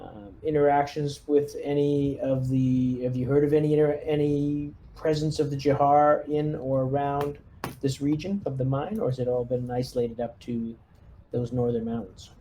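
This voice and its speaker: A man chatting casually as a player in a tabletop game, speaking politely and haltingly. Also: medium pitch, steady tone, warm tone, poor audio. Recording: noticeable background noise.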